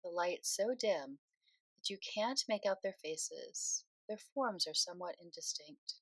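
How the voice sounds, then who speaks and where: A woman leading a guided meditation in a soothing, unhurried way, speaking phrase by phrase with pauses. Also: on the low side, animated, fairly bright tone, no echo, low-quality recording.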